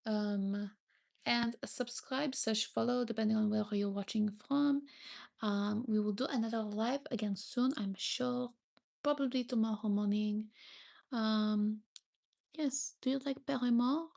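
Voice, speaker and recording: A woman's voice: fairly high pitch, steady tone, unhurried, vocal fry, darkish tone. A young woman chatting casually on a live stream, reading viewer comments and thanking them often, with frequent hesitations. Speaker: livestreamer.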